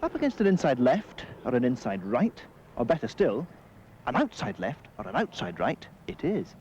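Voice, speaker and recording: An actor playing a smug thief who talks like a relishing, emphatic sports pundit. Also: male voice, medium pitch, wildly swooping pitch, normal speed, darkish tone. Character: smug expert bag-snatcher as sports pundit. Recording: muffled old film soundtrack, faint noise.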